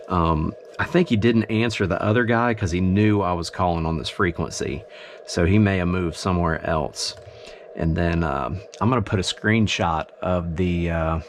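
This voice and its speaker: A man giving a casual, unscripted hobby demonstration, explaining his gear haltingly as he thinks aloud. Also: low-pitched. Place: indoors in a home radio shack. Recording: clear steady background noise.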